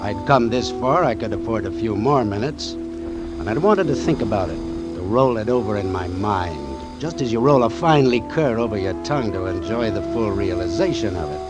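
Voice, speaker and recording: A man's voice: fairly low pitch, lively intonation, normal speed, natural voice. An actor playing a confident ship's doctor in a 1940s radio drama, savouring his words. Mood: smug, reflective. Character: self-assured ship's doctor narrating his scheme. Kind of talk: 1940s radio drama, first-person narration. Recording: old radio broadcast with loud music.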